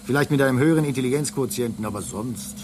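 A man's voice: mid-range voice, fast, noisy background, close to an everyday voice. An actor playing a jaded male space station engineer in a radio play, casual, offhand and mildly condescending. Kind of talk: German radio play acting.

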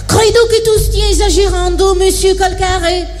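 A woman acts out a tolerant old-world philosopher, the pleading voice of conscience on a comedy stage. The voice is very high and theatrical, with long reverb and faint music. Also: fairly even intonation, measured pace, a little mellow. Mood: earnest, reproving. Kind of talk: comic stage reading. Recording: hall reverb, music behind.

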